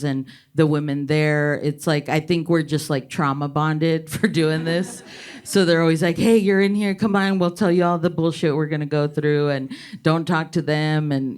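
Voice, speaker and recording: A woman's voice: low voice, darkish tone, clean tone. A woman comedian from Texas, talking candidly and casually on a panel, often laughing.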